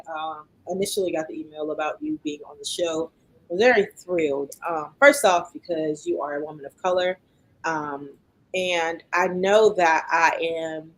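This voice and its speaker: A woman hosting a podcast, asking questions in a measured way with frequent fillers. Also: lowish voice, rough recording. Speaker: podcast host. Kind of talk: podcast interview.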